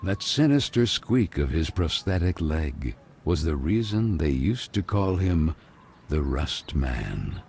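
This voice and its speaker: Slow, very deep-voiced storybook narrator. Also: male voice, sing-song, vocal fry, darkish tone, a little hiss or hum, full character voice. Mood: solemn, measured. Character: solemn storybook narrator of a dark fairy tale. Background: music.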